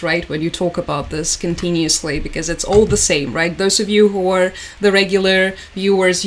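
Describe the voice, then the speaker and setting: A woman whose first language is Russian, hosting a live stream where she comments on the news and greets her chat in a resigned, hesitant way, with many fillers and restarts. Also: lowish voice. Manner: resigned, repeats "unfortunately" and "as always". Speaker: livestream host.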